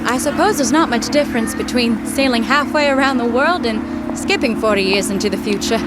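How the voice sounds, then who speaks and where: An actress playing a plucky, practical young woman from the 1930s in a comic audio drama, brisk and matter-of-fact. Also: a little high, heavy background noise, close to an everyday voice. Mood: reflective. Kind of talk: period audio drama comedy acting.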